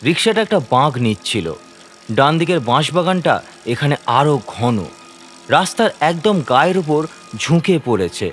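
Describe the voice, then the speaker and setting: A man's voice: on the high side, animated, normal speed, fairly bright tone, faint music behind. A man giving dramatic, suspenseful first-person narration of a scripted horror story. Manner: theatrical, suspenseful delivery. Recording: faint ambient noise, croaking frogs behind.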